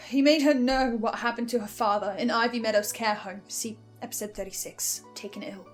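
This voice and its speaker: A woman's voice: fairly high pitch, fairly even intonation, fairly quick, faint music behind. A woman giving semi-scripted theory commentary with a theatrical, emphatic delivery. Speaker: podcast listener sharing theories.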